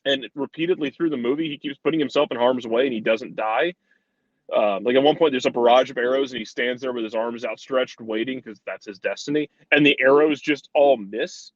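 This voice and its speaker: Male soccer commentator, mid-pitched, conversational and informal, slightly lo-fi sound. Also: a little fast, dry recording. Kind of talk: casual sports analysis chat.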